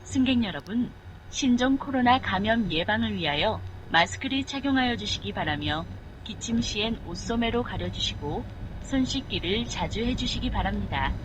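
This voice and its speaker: A woman's voice: mid-range voice, animated, normal speed. A woman reading a scripted public safety announcement to passengers in a formal, polite manner. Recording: noisy recording inside a moving bus. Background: vehicle noise.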